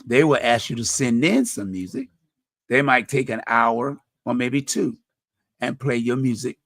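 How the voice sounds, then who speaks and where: A middle-aged man with a deep voice, hosting a community radio talk show and speaking earnestly about faith and helping others, often restarting phrases. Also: animated, a little slow, fairly bright tone, no room sound. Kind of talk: reflective personal talk.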